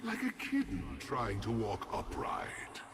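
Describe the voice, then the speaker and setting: An actor playing a haughty, ancient star dragon in a video game, speaking with pompous, theatrical, condescending sarcasm. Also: male voice, medium pitch, wildly swooping pitch, slow, background noise. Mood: mocking. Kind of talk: video game character voice lines.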